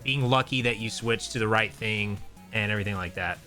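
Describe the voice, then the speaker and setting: A man's voice: on the low side, a little fast, bright, forceful tone, faint music behind. A young man co-hosting a podcast in casual chat, rambling with many fillers and restarts. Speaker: podcast co-host.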